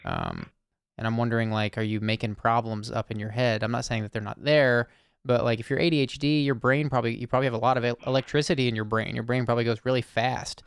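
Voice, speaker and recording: A man hosting an anonymous call-in circle, speaking softly and searchingly with many pauses and fillers. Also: medium pitch, expressive, gravelly, clear, resonant tone, no room sound, clean studio sound. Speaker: host. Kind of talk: listening call-in conversation.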